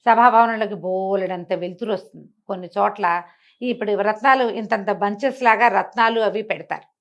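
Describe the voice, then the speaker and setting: A woman's voice: mid-range voice, fairly quick. A woman telling a moral folk tale aloud in a conversational, explanatory way, with acted dialogue and rhetorical questions. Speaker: storyteller. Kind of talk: animated oral storytelling of a moral folk tale.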